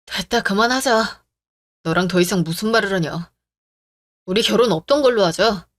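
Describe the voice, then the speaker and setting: A woman's voice: medium pitch, moderate pace, lightly acted. A woman voicing an entitled young male fiancé who talks in a demanding, sneering way in a story radio drama. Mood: angry.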